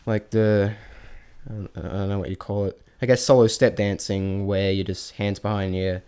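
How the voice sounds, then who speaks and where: A man giving a casual, unscripted review in a halting, rambling way, often correcting himself mid-thought. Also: lowish voice, level tone, a little mellow, clear, resonant tone. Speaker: vlogger reviewing a stage show.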